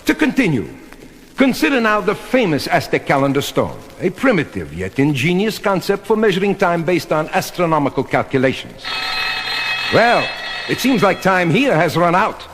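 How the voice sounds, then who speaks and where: An actor playing a haughty, pompous professor and deluded conquistador villain, with formal theatrical diction in a seventies superhero audio drama. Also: male voice, high-pitched, sing-song, moderate pace, a bit bright, full character voice. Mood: pompous, sarcastic. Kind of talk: 1970s superhero record audio drama acting. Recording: reverberant hall sound with background hiss.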